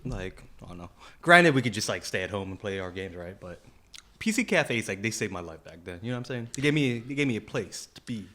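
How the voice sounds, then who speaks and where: A man's voice: fairly low pitch, lively intonation, a little fast, fairly bright tone. A man co-hosting a podcast, telling stories in casual, slangy talk and often repeating his phrases. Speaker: podcast co-host. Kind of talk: casual chat and anecdote telling.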